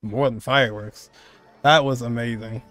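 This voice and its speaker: A man's voice: medium pitch, steady tone, normal speed, fairly bright tone. Casual young man reacting to a game. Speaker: streamer reacting to game cutscenes. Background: game music and sound.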